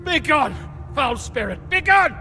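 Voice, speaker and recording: A man's voice: very high voice, very animated, a little slow, sharp tone, soft background music, full character voice. A man playing a frightened, agitated character in a video game, exclaiming in panic. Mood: terrified. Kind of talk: theatrical video game acting.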